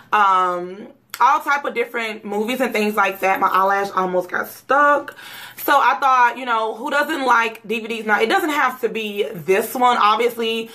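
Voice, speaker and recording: A woman in her thirties, hoarse from a cold, chatting casually to camera in her bedroom about gift ideas, rambling with lots of "um" and "like". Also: medium pitch, bright, forceful tone. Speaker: YouTube vlogger. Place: bedroom at home. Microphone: camera mic, seated facing camera.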